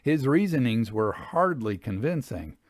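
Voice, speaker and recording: A man narrating an old-fashioned literary story in a measured, expressive storytelling manner. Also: medium pitch, no room sound, clean studio sound. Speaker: audiobook reader. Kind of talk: scripted literary narration of a Victorian travel memoir.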